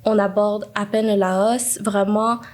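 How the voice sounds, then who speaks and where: A woman's voice: mid-range voice, level tone, slow, a little mellow. A young woman from Quebec with a Quebec French accent, speaking earnestly and steadily in a casual conversation. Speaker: guest.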